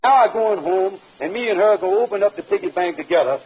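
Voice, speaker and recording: An actor playing a blustery, conniving middle-aged husband in a vintage radio comedy, theatrical and folksy. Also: male voice, high voice, expressive, quick pace, slightly rough. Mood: pleased, explaining. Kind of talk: 1950s radio situation comedy acting. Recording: tinny, narrow old radio recording.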